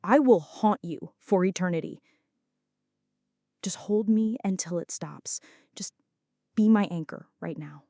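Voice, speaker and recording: Soft, mellow, intimate young-sounding female friend, acted by a woman. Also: on the low side, unhurried, pauses often, dry recording. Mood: scared, pleading. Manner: soft, close, dramatic pleading and confiding. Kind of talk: intimate ASMR roleplay monologue.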